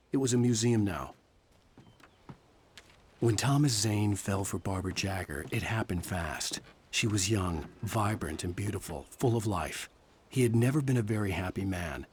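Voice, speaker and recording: An actor playing a troubled writer, narrating his own thriller in a grave, measured, introspective noir style. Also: on the low side, a little hiss or hum, lightly acted. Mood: somber, reflective. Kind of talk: scripted first-person noir narration.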